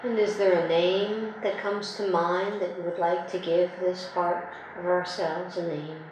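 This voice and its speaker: Female meditation guide. Gentle, unhurried, long pauses. Very reverberant room, faint noise. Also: on the low side, little pitch movement. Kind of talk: guided meditation, soothing slow instruction.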